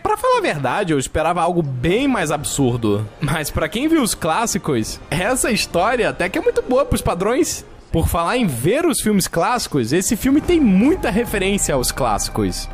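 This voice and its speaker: A Brazilian man narrates a film recap in a casual, jokey tone. His voice sits high and swings widely in pitch. Music plays clearly under him. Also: wildly swooping pitch, normal speed. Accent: Brazilian Portuguese. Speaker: film reviewer. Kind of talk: scripted video narration.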